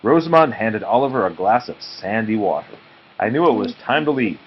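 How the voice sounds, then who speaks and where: Male storybook reader, lively pitch movement, slightly dull home sound. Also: brisk. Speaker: read-aloud storyteller. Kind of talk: children's book read aloud to a small child. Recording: dull muffled home recording, faint noise.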